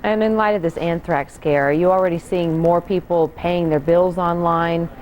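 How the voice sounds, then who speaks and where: An American woman anchors a television news interview. She speaks in a broadcast presenter's style at a middle pitch, with some noise faintly behind her voice. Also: steady tone, moderate pace, a little mellow, rough recording. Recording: TV broadcast with clear background noise.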